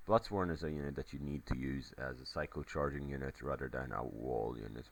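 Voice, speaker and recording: Very deep-voiced man casting a game. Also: fairly quick. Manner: relaxed, rambling play-by-play with many fillers. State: voice a little crackly. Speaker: game commentator. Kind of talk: casual battle replay commentary.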